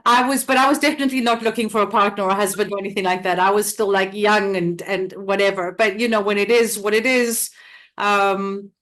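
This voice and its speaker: A woman's voice: medium pitch, steady tone, a little fast, fairly bright tone. Chatty middle-aged woman, laughing guest. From South Africa. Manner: chatty, laughs often, many restarts and fillers. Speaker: interview guest, theatre company director. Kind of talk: casual interview talk.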